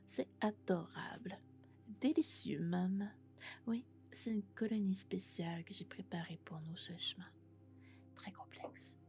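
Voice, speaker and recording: A woman playing a lovesick, possessive female robot in an ASMR roleplay, speaking in a hushed, breathy, intimate whisper. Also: on the low side. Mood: tender, eager.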